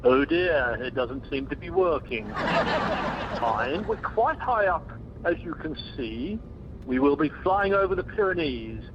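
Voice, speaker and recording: A man's voice: a little high, expressive, a little slow. Man playing a bumbling airline captain, muffled line. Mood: breezy, bumbling. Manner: pompous, bumbling announcer patter. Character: breezy, self-important, bumbling male airline captain. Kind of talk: amateur radio comedy acting. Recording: muffled conference-call line with noise.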